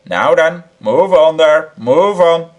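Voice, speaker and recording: Brief: man playing a severe street policeman, loud and brisk, raised pitch. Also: animated. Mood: stern. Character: stern, no-nonsense male policeman. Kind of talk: audiobook character reading.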